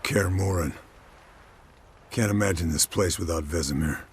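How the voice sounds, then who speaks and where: An actor playing a weary, resigned veteran monster hunter in a video game, terse and downbeat. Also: male voice, deep voice, moderate pace, a little hiss or hum, natural voice. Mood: resigned, grieving. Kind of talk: dramatic video game voice acting.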